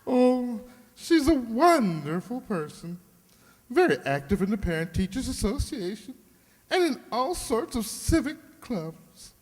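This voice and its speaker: An actor playing an effusive, flattering beauty-salon stylist in a flowery, affected manner, in a comic radio play. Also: male voice, extremely high pitch, measured pace, heavily acted. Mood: gushing. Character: gushing, theatrical male hairdresser, flattering and two-faced. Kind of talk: comic old-time radio sitcom acting. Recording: echoey hall with long reverb.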